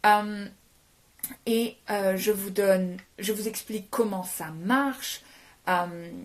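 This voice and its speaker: A woman from France giving a casual French lesson on a phone live stream. She chats, greets viewers, answers their comments and slips English words into her French. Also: mid-range voice. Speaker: French teacher. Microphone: phone held for a live stream.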